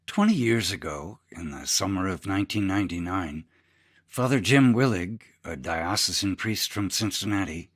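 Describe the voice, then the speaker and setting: A man's voice: deep voice, a bit bright. A man, a priest, reading a scripted audiobook narration.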